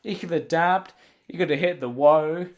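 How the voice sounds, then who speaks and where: A man's voice: fairly high pitch, normal speed. A man talking casually as a podcast co-host, emphatic and sweary, often repeating himself for effect. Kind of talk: casual banter, film discussion.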